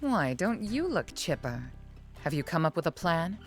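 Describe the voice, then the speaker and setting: A low-pitched female general from a game cutscene. She is composed and guarded, with measured, formal phrasing. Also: very animated, soft background music. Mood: curious. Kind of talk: video game cutscene acting.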